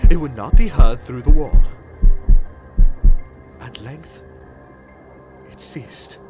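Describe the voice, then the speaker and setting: A man giving a dramatic reading of a gothic tale, theatrical, swinging between hushed whispers and frenzied shouts. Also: medium pitch, slow. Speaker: reader. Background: clear music.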